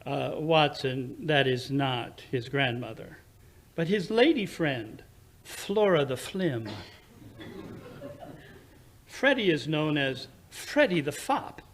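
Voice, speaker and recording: Casting: man as brisk, condescending detective, higher-pitched male voice, precise and impatient, old-time radio drama. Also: very animated, a little hiss or hum, exaggerated voice. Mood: lecturing, superior. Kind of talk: 1940s radio drama acting.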